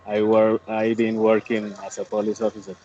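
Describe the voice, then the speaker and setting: A man's voice: lowish voice, completely flat delivery, moderate pace, dark tone. A man in his thirties with a Colombian Spanish accent, introducing himself to his class over a video call with many fillers and restarts. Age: 30s. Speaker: student. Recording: muffled call audio, faint noise.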